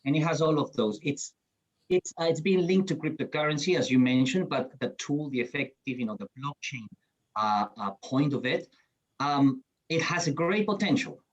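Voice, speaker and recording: A man from Peru answering on a conference panel. He speaks haltingly, with frequent fillers and restarts. The recording sounds a little dull. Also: fairly high pitch. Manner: hesitant, many fillers and restarts. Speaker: panelist, certification program CEO.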